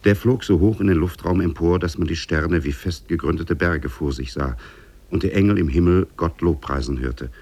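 Male narrator, extremely low pitch, creaky, measured formal storytelling, 1950s German radio drama. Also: darkish tone.

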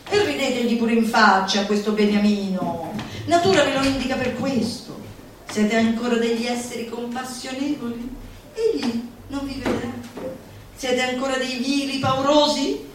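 A woman's voice: on the high side, moderate pace, background noise. A woman gives a theatrical, declamatory and mocking recitation, stretching words for emphasis. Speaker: actress performing a literary monologue. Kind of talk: theatrical recitation of a satirical manifesto. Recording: very echoey room, distant, music behind. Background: music.

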